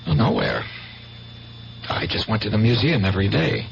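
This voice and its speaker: Casting: earnest, evasive artist for 1970s radio drama; lively intonation, dull broadcast sound with faint hum. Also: male voice, fairly low pitch, normal speed, gravelly. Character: earnest, dreamy male painter, evasive and intense.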